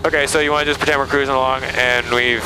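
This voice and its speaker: A man's voice: medium pitch, flat delivery, quick pace. A man flying a small plane in training, informally narrating each step aloud through a headset. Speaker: pilot being trained. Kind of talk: thinking aloud while flying. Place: small airplane cockpit in flight. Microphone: aviation headset. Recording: loud cockpit engine noise, aviation headset intercom.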